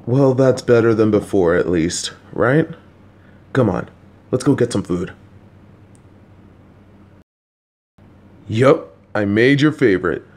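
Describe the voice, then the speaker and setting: A man voicing a kind, worried, clueless father who talks to his child in a gentle, patient, anxious, rambling way. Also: on the low side, animated, measured pace, a little hiss or hum. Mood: warm. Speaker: voice actor. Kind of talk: audio roleplay for a listener.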